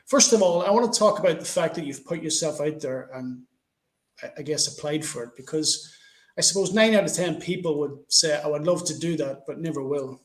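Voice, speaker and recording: A man's voice: a little high, a little fast. A man interviewing a guest, with reflective, tentative phrasing. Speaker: podcast host, interviewer. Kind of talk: conversational interview. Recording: echoey, roomy sound.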